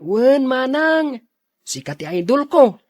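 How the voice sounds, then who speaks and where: An eager younger sibling who looks up to an older sister, played by a woman. The voice is fairly high, in radio drama style. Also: sing-song, slow pace, darkish tone, no echo, heavily acted. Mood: admiring. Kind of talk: radio drama acting in Ilocano.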